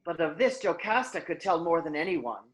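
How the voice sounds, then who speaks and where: A woman playing a cautious, respectful elder of a Greek chorus, speaking in a measured, grave way in a staged reading. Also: fairly low pitch, animated, lightly acted, low-quality recording.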